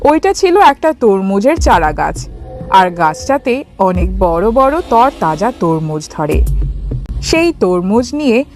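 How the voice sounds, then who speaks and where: A woman narrating a scripted cartoon story in an expressive storytelling style. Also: mid-range voice, takes it slowly, faint music behind.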